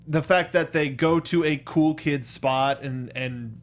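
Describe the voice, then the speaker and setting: Male co-host, voice a bit on the high side, casual geek-culture chat, enthusiastic and slangy. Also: slow. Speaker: talk show co-host. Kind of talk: casual chat about games and anime films.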